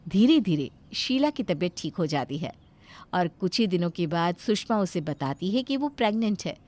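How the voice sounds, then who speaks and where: A woman narrating a cartoon moral story in a lively, expressive storybook style. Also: on the low side, moderate pace.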